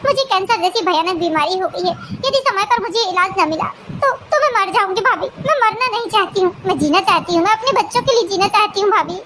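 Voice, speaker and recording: A woman voicing a distraught, ill young married woman in a melodramatic family cartoon, pleading and halting as she repeats her words tearfully. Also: extremely high pitch, expressive, crowd noise. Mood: desperate pleading.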